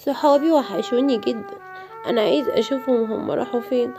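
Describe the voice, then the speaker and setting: High, fast Egyptian woman voicing a young cub. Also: over music. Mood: cheerful. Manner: exclamatory, childlike. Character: lively young lion cub and young prince. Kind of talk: animated children's story, acting all characters.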